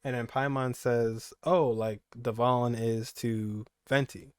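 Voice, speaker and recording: A man's voice: medium pitch, steady tone, takes it slowly, clear, resonant tone, dry recording, studio quality. A young man giving casual, rambling reaction commentary as a gaming streamer, repeating phrases for emphasis.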